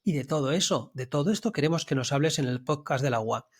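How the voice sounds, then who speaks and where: A man hosts a podcast and interviews his guest in a friendly, talkative way. His voice sits a little higher than most men's. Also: expressive, quick pace, slightly rough. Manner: friendly, chatty questioning. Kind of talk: conversational interview.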